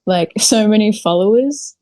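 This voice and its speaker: A woman's voice: mid-range voice, moderate pace, warm tone, no echo. A woman from New Zealand chatting informally as an interview guest, peppering her talk with "like" and "literally". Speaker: podcast guest, video creator. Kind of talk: casual interview chat.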